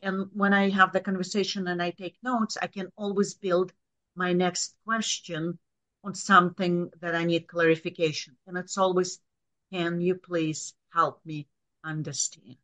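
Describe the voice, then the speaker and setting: A woman with a low voice and a Russian accent, a guest giving direct, practical career advice in an interview. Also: flat delivery, measured pace, fairly bright tone. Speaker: guest, author. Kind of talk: interview conversation.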